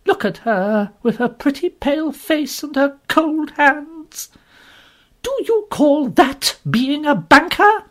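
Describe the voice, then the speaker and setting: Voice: very animated, moderate pace, gravelly, few pauses, husky, heavily acted. A reader voicing a fierce, strong, red-haired woman who bawls and scolds indignantly. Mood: indignant scolding. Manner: shrill indignant bawling. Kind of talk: audiobook character voice.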